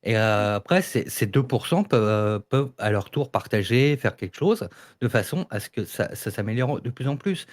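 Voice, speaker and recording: A man's voice: mid-range voice, animated, normal speed. Man debating casually, explanatory and insistent. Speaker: debate participant. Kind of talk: casual panel debate about audio fiction.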